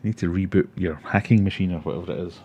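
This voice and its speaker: A man's voice: deep voice, very fast, mellow, highs cut off, a little hiss or hum. A man in his forties with a Glaswegian Scottish accent, hosting a casual livestream, chatting with viewers and telling self-mocking stories. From Scotland. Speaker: livestream host. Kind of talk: casual livestream chat reading comments.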